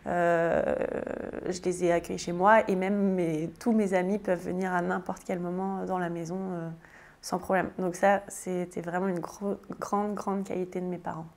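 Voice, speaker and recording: A woman's voice: fairly low pitch, level tone, normal speed, a little mellow. A French woman in her thirties answering interview questions casually, with many hesitations and restarts. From France. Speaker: guest, tiny house builder.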